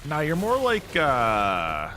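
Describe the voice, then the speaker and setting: Chatty male gamer, lively pitch. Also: slow pace, a little hiss or hum. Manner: rambling, thinking aloud, reacting to chat. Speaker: streamer playing a game. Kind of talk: casual gameplay commentary.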